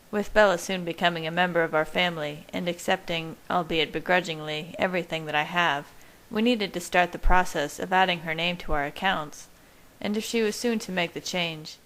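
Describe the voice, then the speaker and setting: Female amateur audiobook reader, slightly low pitch, steady measured story narration. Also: rough recording. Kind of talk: scripted first-person story narration.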